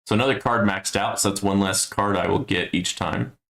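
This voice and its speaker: Male streamer, deep gravelly voice, musing low-key play-by-play. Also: brisk, fairly bright tone. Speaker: game streamer. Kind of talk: casual gameplay commentary.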